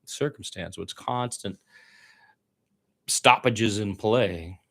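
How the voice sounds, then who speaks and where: Guy with a lowish voice doing casual basketball play by play, blunt opinions thrown in. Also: slow, fairly bright tone. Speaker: play-by-play sports commentator. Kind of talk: informal radio-style basketball play-by-play with opinionated asides.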